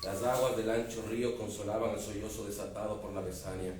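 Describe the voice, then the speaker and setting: A man's voice: lowish voice, fairly even intonation, a little fast, a little mellow. A man with an Ecuadorian accent reads a passage from his novel at an embassy book presentation, his delivery building in dramatic emphasis. The sound comes from a distance in a very echoey hall. A faint hiss sits underneath. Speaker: author. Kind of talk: book presentation with reading aloud. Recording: echoey room, distant mic, faint noise.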